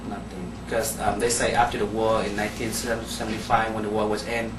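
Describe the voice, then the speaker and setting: Halting Vietnamese-accented man, echoey room. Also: fairly low pitch, flat delivery. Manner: plain, halting, non-standard grammar. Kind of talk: interview answers. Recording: echoey room, distant mic, background noise.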